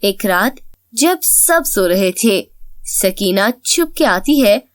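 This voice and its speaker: A woman's voice: mid-range voice, lively intonation, takes it slowly, no room sound, clean studio sound. A woman reading scripted narration for a children's cartoon story.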